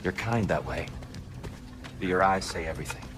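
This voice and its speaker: A man playing a gentle, humble, weary god in a video game, a former prisoner who speaks with courteous, apologetic care. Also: deep voice, normal speed, background noise, lightly acted. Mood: apologetic, reflective. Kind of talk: video game character acting.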